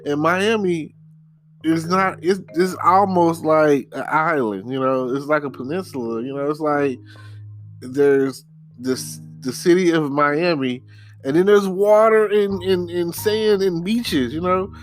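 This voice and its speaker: A man from Texarkana in the United States hosting a casual solo podcast, talking in a halting, rambling way with repeated words and frequent check-in phrases. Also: a little high, soft background music. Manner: rambling, halting, repeats words, says "you know" often. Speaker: podcast host.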